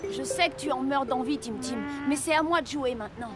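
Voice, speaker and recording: Woman playing a high-voiced, earnest young boy. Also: a little fast, lightly acted. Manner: earnest, gently firm. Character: kind, responsible young boy, apprentice Santa. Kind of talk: animated children's series dub.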